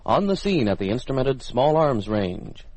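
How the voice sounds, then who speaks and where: A man's voice: medium pitch, big pitch swings, normal speed, a little mellow, low-quality recording. A man with an American accent making scripted military radio announcements in a formal, polished announcer's delivery. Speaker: radio program host and announcer.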